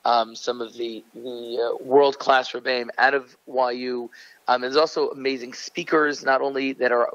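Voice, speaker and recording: An eager man pitches a program like a salesman. His voice comes through a dull, muffled phone line. It sounds dry and close, with no room sound. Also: flat delivery, moderate pace, a bit bright, dry recording. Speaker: guest promoting a holiday program. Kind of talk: phone-in interview.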